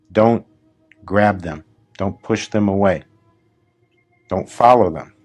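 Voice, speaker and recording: A man with a fairly low voice leads a guided meditation. He gives gentle, repetitive instructions in short phrases, leaving unhurried pauses between them. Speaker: spiritual teacher leading meditation.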